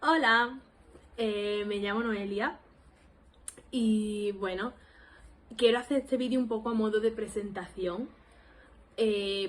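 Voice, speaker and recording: A woman's voice: medium pitch, a little hiss or hum. A woman in her twenties from Spain with an Andalusian accent, rambling casually to her camera as she introduces her language vlog. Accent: Andalusian Spanish. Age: 20s. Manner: rambling and self-deprecating, lots of laughs and fillers. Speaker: language learning vlogger.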